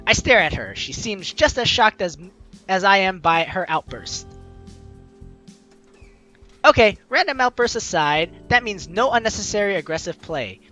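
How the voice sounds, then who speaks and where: A young adult host doing casual, playful game commentary and theatrically reading the dialogue aloud in an excitable way. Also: mid-range voice, a little slow. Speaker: let's play host. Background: faint game music.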